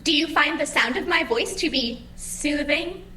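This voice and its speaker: Woman acting a high, bright, over-eager AI assistant. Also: measured pace, echoey room, far from the mic, a little hiss or hum. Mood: polite, matter-of-fact. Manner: formal, over-eager robotic politeness. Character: anxious, chirpy female AI assistant guiding the digital afterlife. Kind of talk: audio drama acting.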